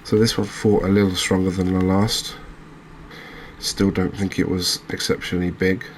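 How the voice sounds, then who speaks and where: A man with an English accent giving soft-spoken ASMR game commentary in a hushed, unhurried, hesitant manner. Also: low voice, little pitch movement, a little hiss or hum. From England. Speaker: gaming video host.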